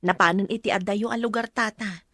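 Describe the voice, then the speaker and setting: A woman's voice: medium pitch, steady tone, a little fast, bright, forceful tone, dry recording, studio quality. An actress playing a frank woman soberly giving news in an Ilocano radio drama.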